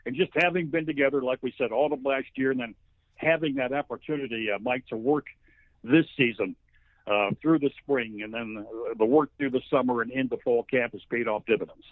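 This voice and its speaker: A man's voice: mid-range voice, fairly quick, no echo. American man on a phone-like line. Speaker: sports play-by-play announcer, guest. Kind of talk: conversational sports commentary interview.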